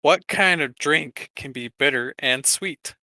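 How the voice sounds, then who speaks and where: A man's voice: fairly high pitch, measured pace, sharp tone, no echo. A man co-hosting a podcast in casual, joking banter with friends. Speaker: podcast co-host.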